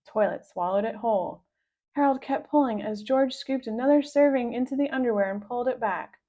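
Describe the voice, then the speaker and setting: Lady reading a kids book aloud, expressive, highish voice, bit dull sounding. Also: fairly quick. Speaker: storybook reader. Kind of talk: expressive scripted children's narration.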